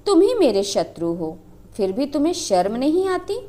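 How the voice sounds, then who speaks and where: A woman's voice: high-pitched, big pitch swings, normal speed, warm tone, voice pushed up. A woman voicing a devoted young village wife who speaks with passion and pleading. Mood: defiant. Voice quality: impassioned, lamenting.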